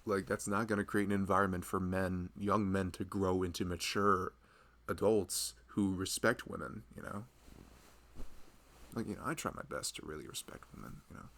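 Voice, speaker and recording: A young man playing a loving, earnest husband chatting casually and intimately with his pregnant wife in an ASMR roleplay, rambling and halting as he goes. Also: low voice, steady tone, a bit bright.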